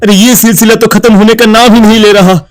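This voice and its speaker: A man's voice: high voice, fairly even intonation, fairly quick, slight background noise, natural voice. A man playing worried village men in a dramatised moral story, anxious and dramatic.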